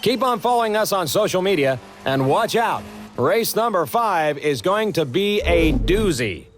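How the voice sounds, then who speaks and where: Male race broadcast announcer for a game: showy hype, high and very animated. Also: measured pace, noisy background. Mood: hyped. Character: excitable male TV announcer of a racing series. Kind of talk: hyped sports broadcast commentary.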